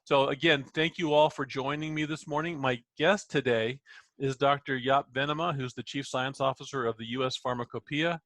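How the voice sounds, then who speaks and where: A man's voice: mid-range voice, steady tone, normal speed, a bit bright, no room sound. Middle-aged male host of a video-call webinar, businesslike, dry close sound. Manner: businesslike, repeats words when restarting. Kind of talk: webinar hosting.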